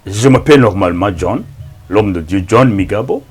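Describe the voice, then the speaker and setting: A middle-aged Rwandan-born pastor whose first language is Kinyarwanda, praying and preaching slowly and solemnly, with frequent amens. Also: male voice, fairly low pitch, expressive, breathy edge, soft background music. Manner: slow, solemn, with frequent amens and hushed thanks. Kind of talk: prayer and sermon introduction.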